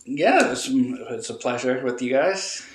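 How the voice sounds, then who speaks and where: A man talking over a phone call as an interview guest, halting with many filler sounds and restarts. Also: mid-range voice, animated, slight background noise. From Canada. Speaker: guest, relocating client. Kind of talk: casual interview answers. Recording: roomy echo.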